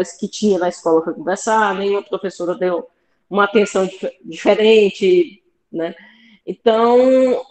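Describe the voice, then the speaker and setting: Woman, Fortaleza accent, podcast guest, hesitant, thoughtful, headphones, roomy echo. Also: medium pitch. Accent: Brazilian Portuguese from Fortaleza. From Brazil. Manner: thoughtful, hesitant, with light laughs and asides. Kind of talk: casual podcast discussion. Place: home room with plants behind. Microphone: headphones on a video call.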